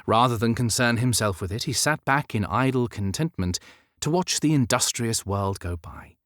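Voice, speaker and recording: A man narrating historical romance fiction as an audiobook, in measured, expressive storytelling. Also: medium pitch, no echo, studio quality.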